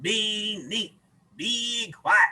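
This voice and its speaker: Man playing young boy frog, eager, childlike, singsong, high and bright, storybook character. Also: drawn-out words, exaggerated voice.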